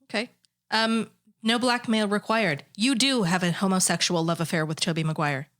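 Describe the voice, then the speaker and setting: A woman's voice: medium pitch, steady tone, moderate pace, fairly bright tone. Dry-witted woman in her thirties. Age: 30s. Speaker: podcast co-host. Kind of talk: casual podcast banter.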